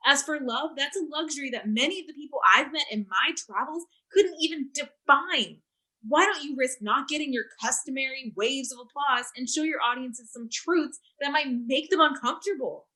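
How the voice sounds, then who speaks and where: An actress playing an earnest, accusing activist who challenges a talk show host, in a staged play reading on a video call. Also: female voice, sits high, pushed and bright, rough recording. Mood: insistent. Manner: accusing, insistent.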